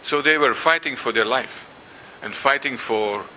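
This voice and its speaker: An older man whose first language is Dutch gives a symposium lecture, pausing often with ums and restarts and reading passages aloud. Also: mid-range voice, pushed and bright, slight background noise, rough recording. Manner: hesitant, many ums and restarts. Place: lecture hall.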